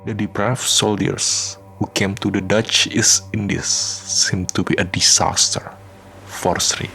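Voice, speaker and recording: Male radio drama narrator: deep, very slow, grave and measured storytelling. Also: little pitch movement, creaky, pushed and bright. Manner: solemn, measured. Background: faint music.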